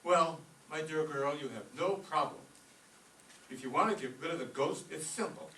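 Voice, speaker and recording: A man's voice: a little high, moderate pace, bright, forceful tone. A man playing a scornful, wisecracking, blustery office boss in an old-time radio comedy. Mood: sarcastic. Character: exasperated, sarcastic male law-office boss. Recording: roomy, distant stage recording with faint noise.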